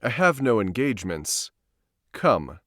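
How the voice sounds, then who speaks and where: A man's voice: on the low side, very animated, a little slow, a bit bright, no echo, clean studio sound. A man playing a tipsy, boastful nobleman from a gothic story, exclamatory and insistent. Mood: scornful, eager. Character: tipsy, boastful, excitable Italian nobleman at carnival.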